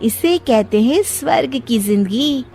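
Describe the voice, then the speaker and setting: A woman acts a cheeky young daughter-in-law from a cartoon tale. Her voice is high and swings about excitedly, full of playful, scheming glee. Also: unhurried, soft background music. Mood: gleefully scheming. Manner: exclamatory, gleeful. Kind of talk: cartoon story acting.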